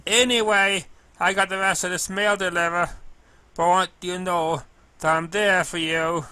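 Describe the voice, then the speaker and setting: Casting: male reader as kindly, scatterbrained cartoon pony mailmare, high-pitched, forceful, bumbling and bubbly. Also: fairly even intonation, clean tone, dry recording. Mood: cheerful, playful. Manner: bubbly, drawn-out words, bumbling. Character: cheerful, scatterbrained, kindly female pegasus pony mailcarrier.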